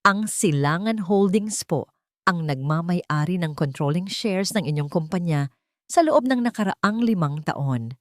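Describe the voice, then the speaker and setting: Woman voicing a calm, formal attorney. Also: fairly low pitch, no room sound, clean studio sound. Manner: polite, measured, official. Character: calm, formal professional attorney on a phone call.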